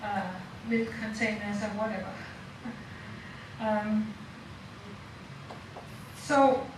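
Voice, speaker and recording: A woman with a Danish accent speaking as a panelist in an informal talk, halting and full of fillers. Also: mid-range voice, fairly even intonation. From Denmark. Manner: halting, with many fillers and soft laughs. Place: lecture room. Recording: echoey room from a distant mic, noisy.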